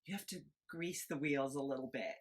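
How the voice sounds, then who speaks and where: A woman playing a ditzy, doting podcaster in a comedy read-through, hesitant, apologetic and cutesy, with a husky voice. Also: rumbling low, a little fast. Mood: sheepish, flippant. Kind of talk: informal script read-through of audio comedy.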